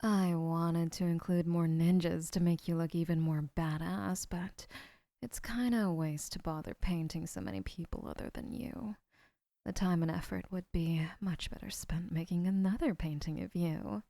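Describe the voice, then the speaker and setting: An actress playing a gentle, obsessive young yandere woman in an audio roleplay, speaking in soft, hushed murmurs. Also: lowish voice, fairly even intonation, normal speed, no room sound, close to an everyday voice.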